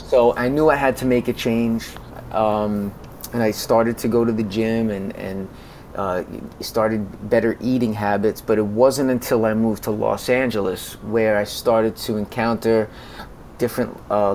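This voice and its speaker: An American man in his forties speaking conversationally as an interview guest, in a halting way that often repeats words. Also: on the low side, vocal fry, darkish tone, slight background noise. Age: 40s. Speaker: podcast guest, music consultant.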